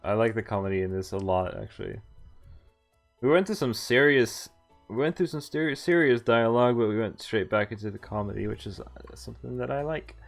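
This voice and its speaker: Man, game streamer, mid-pitched, theatrical read-aloud, amused commentary, soft game music behind. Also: lively intonation, a little fast, creaky. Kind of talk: livestream visual novel read-aloud with commentary. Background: visual novel music and Japanese game voices.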